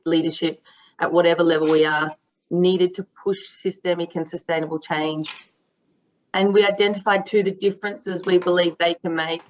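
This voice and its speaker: Low, even Australian woman on muffled audio. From regional Victoria, Australia. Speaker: task team member. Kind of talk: prepared presentation at an online event. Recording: dull, band-limited online call audio.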